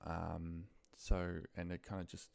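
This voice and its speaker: Low, creaky-voiced man giving a casual walkthrough. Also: completely flat delivery, a little slow, darkish tone, no echo. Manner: informal, muttering to himself while working. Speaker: instructor demonstrating a spreadsheet task. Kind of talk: casual screen-share tutorial, unscripted.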